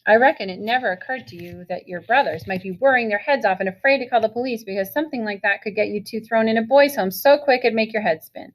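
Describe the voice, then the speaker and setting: A woman reading the part of a furious older brother who shouts and scolds. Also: fairly high pitch, fast talker, few pauses, natural voice, rough recording. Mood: furious scolding. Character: older brother, angry stern young man.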